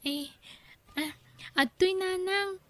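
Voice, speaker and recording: Casting: woman playing timid child, tiny very high voice, slow halting delivery, Ilocano accent, drama. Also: bright, forceful tone, dry recording, clean studio sound, exaggerated voice. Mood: timid, tearful. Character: meek, hesitant child timidly answering a scolding mother. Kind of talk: Ilocano radio-style drama acting.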